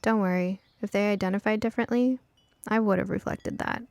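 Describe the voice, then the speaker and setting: A woman's voice: mid-range voice, fairly even intonation, brisk, creaky, a little mellow, no room sound, lightly acted. A woman voicing a curious, precocious young girl in a storybook, earnest and direct in manner. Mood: earnest, matter-of-fact. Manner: direct, matter-of-fact, earnest. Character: curious, eager, precocious young girl who loves tinkering. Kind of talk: acted audiobook dialogue.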